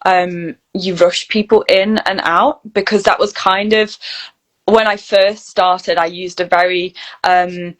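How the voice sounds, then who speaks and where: A woman's voice: fairly low pitch, fairly even intonation, takes it slowly. Chatty British woman in conversation. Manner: chatty, explanatory, often quotes imagined dialogue. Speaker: guest, voice reel studio founder and actor. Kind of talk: casual conversational interview.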